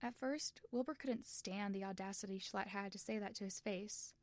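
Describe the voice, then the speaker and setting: A woman's voice: medium pitch, moderate pace, no echo. A woman narrating fan fiction expressively from a script, as in an audiobook.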